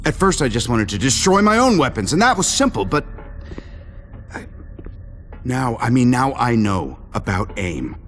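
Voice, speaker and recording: An actor playing a driven weapons inventor turned superhero in a video game, intense and emphatic. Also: male voice, medium pitch, very animated, normal speed, long pauses, fairly bright tone, slight background noise. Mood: agitated, searching. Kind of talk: scripted video game acting.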